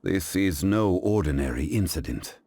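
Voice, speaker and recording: Zhongli, a deep, grave gentleman. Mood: calm. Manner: measured, formal, calm. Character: grave, dignified male scholar-consultant. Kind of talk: game voice acting. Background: game music.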